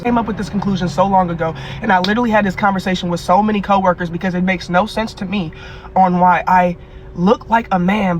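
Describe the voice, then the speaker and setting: A woman with a voice deeper than most women rants bluntly in a casual, animated way and swears freely. Her pitch sits mid-range, with faint noise behind her. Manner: blunt, animated rant with profanity. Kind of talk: casual rant.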